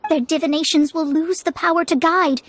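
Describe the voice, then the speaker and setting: Haughty high-voiced young astrologist. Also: female voice, steady tone, a little fast, dry recording. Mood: indignant, lofty. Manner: formal, haughty, theatrical. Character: proud young female astrologist, lofty and self-assured. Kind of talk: video game voice acting. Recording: music behind the voice.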